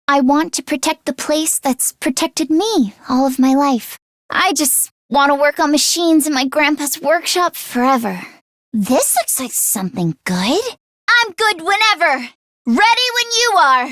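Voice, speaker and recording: A woman's voice: high voice, a bit bright, dry recording, studio quality, full character voice. A woman voicing a plucky, earnest teenage girl mechanic in a video game, speaking emphatically and easily flustered. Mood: earnest, fond. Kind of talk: video game voice acting, battle barks.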